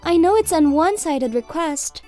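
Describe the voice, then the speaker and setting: A woman playing a kind, polite, eager high school girl in a manga video, humble and apologetic in manner. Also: expressive, normal speed, mellow, soft background music. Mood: polite, earnest. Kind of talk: manga video character dialogue.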